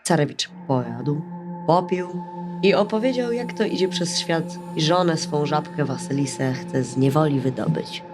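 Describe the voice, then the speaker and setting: A woman storyteller narrating a bedtime fairy tale in an expressive, scripted style. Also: low-pitched, moderate pace. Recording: music under the voice.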